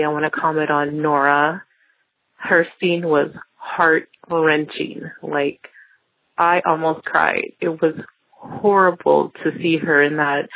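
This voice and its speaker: Even-toned woman on a muffled voicemail. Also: low voice. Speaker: listener leaving a voicemail. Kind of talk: casual reflective voicemail. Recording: dull, lo-fi voicemail recording.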